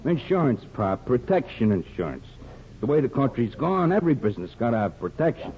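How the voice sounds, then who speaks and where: A man's voice: medium pitch, sing-song, brisk, close to an everyday voice. Man playing a smug, slangy gangster boss. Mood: smug, veiled menace. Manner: slangy, tough, slyly insinuating. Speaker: radio actor. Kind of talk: 1950s radio drama acting. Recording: muffled 1950s radio broadcast.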